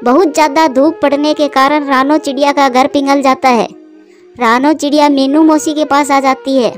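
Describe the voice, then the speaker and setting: A woman's voice: high-pitched, steady tone, normal speed. A woman narrating a scripted children's story as storyteller. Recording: music behind the voice.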